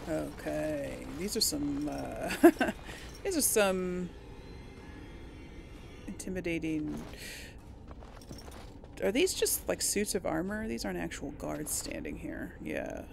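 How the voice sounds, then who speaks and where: A woman's voice: low-pitched, expressive, takes it slowly, creaky, long pauses, a little mellow, slight background noise. A giggly, easily startled woman giving casual video game commentary, reacting aloud as she plays. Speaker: gamer, video game playthrough host. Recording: game music behind the voice.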